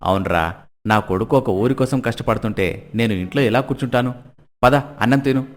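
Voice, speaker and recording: A man voicing an older village father, stern but caring, with a concerned paternal manner in an animated moral story. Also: medium pitch, normal speed, lightly acted. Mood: remorseful, proud.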